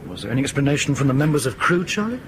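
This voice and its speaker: A man acting in a radio drama as a brisk, suspicious airline captain with a clipped, authoritative manner. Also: mid-range voice, big pitch swings, a little hiss or hum, lightly acted. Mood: concerned.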